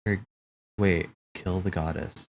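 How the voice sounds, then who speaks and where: A man with a somewhat low voice talks casually through a stream, working out ideas aloud. He trails off, restarts and piles on fillers as he goes. A faint hiss sits behind him. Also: steady tone, takes it slowly, gravelly, a little mellow. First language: English. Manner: rambling, thinks aloud with many fillers and restarts. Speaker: streamer reacting to game cutscenes. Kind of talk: casual livestream chat.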